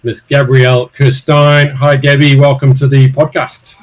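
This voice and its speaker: A man's voice: mid-range voice, flat delivery, measured pace, poor audio. Hesitant male podcast host. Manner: hesitant, repeats words, many ums. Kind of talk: interview podcast.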